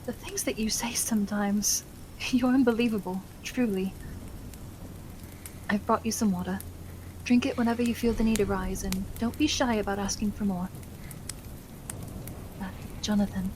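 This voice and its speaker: A woman's voice: medium pitch, steady tone, moderate pace, long pauses, noisy background, lightly acted. A woman playing a caring, genteel young Victorian wife and hostess in an ASMR roleplay, with soothing, polite, old-fashioned phrasing. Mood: affectionate.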